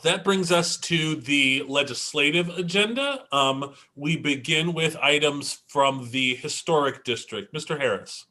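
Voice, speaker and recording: A man chairing a council meeting as its president, speaking in a brisk, formal procedural way. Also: on the high side, bright, forceful tone.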